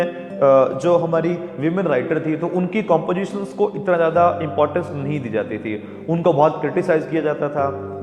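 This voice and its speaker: Indian man teaching in an echoey room. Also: fairly high pitch. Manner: reads English verse lines slowly, then explains them in Hindi with frequent "okay". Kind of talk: explaining a poem line by line to students. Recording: echoey room with long reverb and background music.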